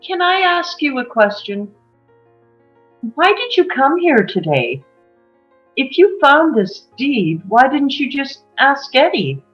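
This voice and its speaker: A woman playing a guarded, wistful small-town woman who confides old secrets haltingly in a drama table read. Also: sits high, very animated, exaggerated voice. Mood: probing. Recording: muffled, music underneath.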